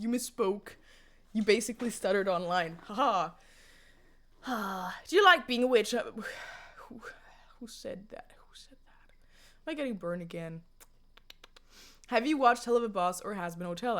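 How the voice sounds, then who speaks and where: A woman in her twenties chatting on a livestream from a home room into a desk condenser mic. She answers viewer chat casually and laughs often. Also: mid-range voice. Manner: giggly, laughs often, jokey and animated. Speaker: streamer. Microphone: USB condenser desk mic.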